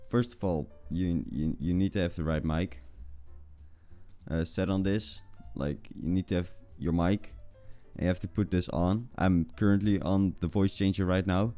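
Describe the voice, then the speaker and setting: A young adult man hosting a casual tutorial in an informal, halting way, often repeating words. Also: low voice, mellow, faint music behind. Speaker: tutorial video host. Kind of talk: casual screen-recording tutorial.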